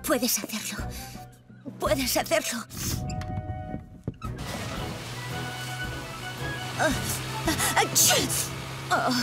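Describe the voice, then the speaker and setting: A woman voicing a dutiful young female firefighter who is sick with a cold, in a dubbed children's cartoon. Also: high voice. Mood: determined, poorly. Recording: cartoon music behind.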